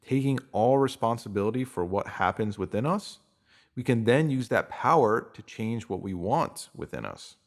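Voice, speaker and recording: A man's voice: on the low side, lively intonation, normal speed. A man reading a scripted self-help piece, addressing the listener directly. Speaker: reader of written posts.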